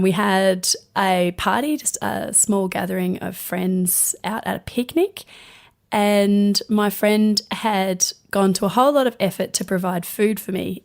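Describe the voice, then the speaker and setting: Woman, hoarse from laryngitis, croaky, podcast co-host, chatty storyteller, mid pitch. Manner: chatty storytelling, gentle and earnest. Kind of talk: casual podcast chat.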